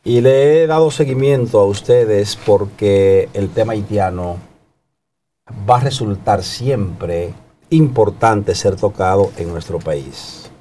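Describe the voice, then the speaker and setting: A Dominican man in his sixties speaking as a TV panel guest, telling anecdotes and explaining in a deliberate manner. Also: lowish voice, warm tone, a little hiss or hum. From Dominican Republic. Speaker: guest panelist, TV channel director. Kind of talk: anecdotal political talk. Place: TV studio. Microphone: lapel.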